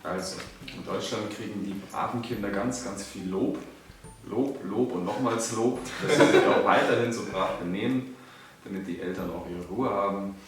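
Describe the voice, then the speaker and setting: Low-voiced Bavarian man in an echoey room. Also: normal speed. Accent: Bavarian German. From Bavaria, Germany. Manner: dry, joking asides. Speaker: guest. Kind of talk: casual chat. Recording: echoey room, mic at a distance, faint music.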